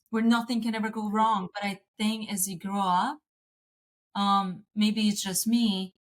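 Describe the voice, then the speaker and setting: A woman, talking casually as an interview guest, restarting sentences and laughing often. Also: a little high. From Slovakia.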